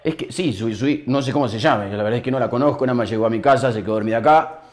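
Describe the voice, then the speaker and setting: Brief: male prank-show host, twenties, Mexican, rapid and playful delivery. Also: mid-range voice, darkish tone. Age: 20s. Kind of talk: playful banter and prank phone calling. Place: small indoor studio room.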